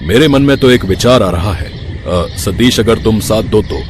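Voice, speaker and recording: A man voicing a pious, charitable wealthy village merchant in a cartoon, gracious and deliberate. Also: on the low side, animated, a little hiss or hum, close to an everyday voice. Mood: admiring.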